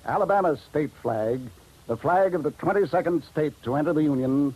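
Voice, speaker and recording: A male radio narrator with a somewhat high, husky voice. He delivers formal scripted material in a measured, stately manner. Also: dark tone, low-quality recording. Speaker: narrator of educational radio feature.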